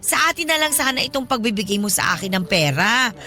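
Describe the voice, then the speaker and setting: A woman's voice: fairly high pitch, sing-song, quick pace, pushed and bright, soft background music. A woman playing a lonely, demanding old godmother who talks in a pushy, coaxing way in a radio drama. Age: older.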